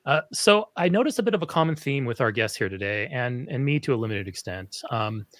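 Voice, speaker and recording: A man's voice: medium pitch, expressive, fairly bright tone, no echo. Filler-heavy male moderator, dry sound. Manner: filler-heavy, enthusiastic, halting. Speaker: panel moderator. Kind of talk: livestream panel hosting.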